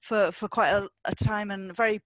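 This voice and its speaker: Middle-aged woman from northumberland, guest poet on a video call, halting, lots of ums, dry sound. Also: mid-range voice, fairly even intonation, fairly bright tone, dry recording, lo-fi sound. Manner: halting, many fillers and restarts. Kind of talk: festival introduction over video call.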